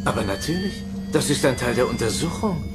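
A cold, condescending alien commander in a sci-fi radio play, acted by a man. His voice sits at a middle pitch, detached and superior, with loud music behind it. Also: big pitch swings, fairly quick, lightly acted. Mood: cool menace. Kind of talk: German sci-fi radio drama acting.

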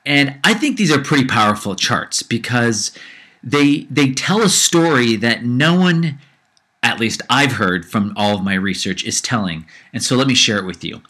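Podcast guy with a cold, bright punchy voice, ranting and heated. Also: mid-range voice, animated, normal speed. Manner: fired-up, ranting, repeats himself, mocking voices of ads. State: low-grade cold. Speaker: podcast host. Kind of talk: casual solo podcast rant.

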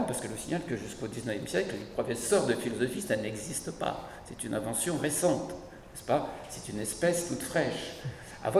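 Older male speaker, hoarse, ironic, with a lively rising and falling voice; desk mic in a big reverberant hall. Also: mid-range voice, slight background noise. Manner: ironic, animated, gestures while speaking. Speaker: speaker at a public debate. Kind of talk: lively public talk on philosophy. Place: large hall, seated at a panel table. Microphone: desk mic on a stand. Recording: echoey hall from a distance.

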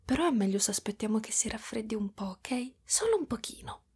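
Woman acting breathy, doting spider-woman mother. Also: fairly high pitch, expressive, brisk. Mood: tender, playful. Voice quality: soft, breathy with whispery stretches. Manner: cooing, affectionate, giggly, pet names. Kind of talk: ASMR roleplay.

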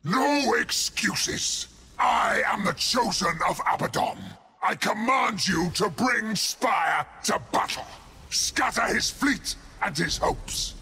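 A man's voice: sits high, lively intonation, slow pace, creaky, husky, exaggerated voice. A man playing a menacing traitor space marine warlord in a game cutscene, with a grandiose, commanding delivery. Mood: furious, demanding. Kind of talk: dramatic video game cutscene acting. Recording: loud music under the voice.

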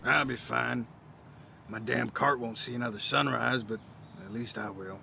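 A man playing a weary, proud old war veteran, gruff, wry and folksy, in an audio drama. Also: medium pitch, steady tone, moderate pace, pushed and bright, slight background noise, heavily acted. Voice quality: gravelly. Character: weary, proud old male Vietnam veteran down on his luck.

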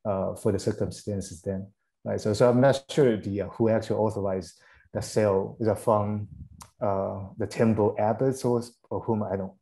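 A man's voice: on the low side, steady tone, normal speed, gravelly, dark tone, rough recording. A man speaking on a video call panel, recalling archive details and checking himself with "right?". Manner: recalling, questioning with tag "right?". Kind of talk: panel discussion.

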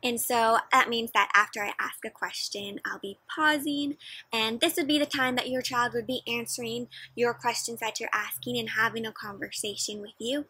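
A young woman doing an interactive read-aloud for children, speaking in an expressive, emphatic way. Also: fairly high pitch, moderate pace, slightly rough, no echo. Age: young adult. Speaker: children's storybook reader. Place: outdoors in a playground.

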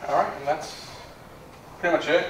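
A young man giving a casual product demonstration in a plainspoken, low-key way, recorded indoors on a distant camera mic. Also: fairly even intonation, normal speed. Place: bare indoor room with carpet. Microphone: far camera. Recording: roomy echo, distant mic, background noise.

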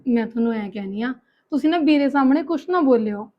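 A woman's voice: a little high, animated, quick pace, lo-fi sound, lightly acted. A woman playing a meek married younger sister, pleading and conciliatory, in a family drama. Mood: anxious, covering up. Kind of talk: Punjabi domestic drama dialogue.

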